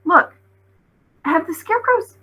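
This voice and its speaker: A woman's voice: very high voice, lively intonation, a little slow, sharp tone, lo-fi sound, voice pushed up, exaggerated voice. A woman voicing a brave, determined young girl with urgent feeling in a children's storybook.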